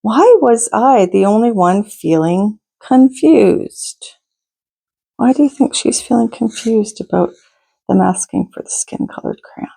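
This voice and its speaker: A woman reading a picture book aloud to children as a storytime host, in a deliberate, expressive manner with asides to her listeners. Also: on the high side, gravelly, husky. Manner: deliberate, expressive, pauses to ask listeners questions.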